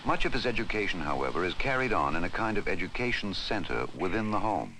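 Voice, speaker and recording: A man giving formal, measured scripted narration in the style of a 1960s educational film. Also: low-pitched, big pitch swings, bright, forceful tone, slight background noise, poor audio. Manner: measured, formal, deliberate delivery. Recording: 1960s film soundtrack with music behind. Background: music.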